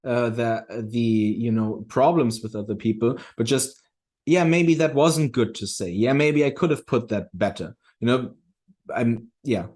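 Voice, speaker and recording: Man talking haltingly and reflectively. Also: medium pitch, animated, a little mellow. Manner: halting, reflective, often restarting phrases. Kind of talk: casual discussion.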